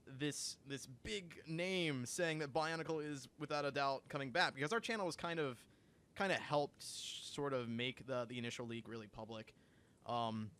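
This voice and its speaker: A man's voice: fairly high pitch, smooth. A young man co-hosting a livestream, telling stories eagerly with many restarts. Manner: excitable storyteller, restarts a lot. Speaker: channel co-host. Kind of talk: casual livestream chat.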